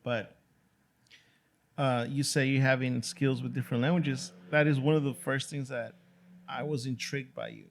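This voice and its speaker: Gravelly, laid-back man hosting. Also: medium pitch, little pitch movement, a little hiss or hum. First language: Spanish. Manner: laid-back, drawn-out "dude" and "you know" fillers. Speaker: podcast host. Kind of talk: casual chat.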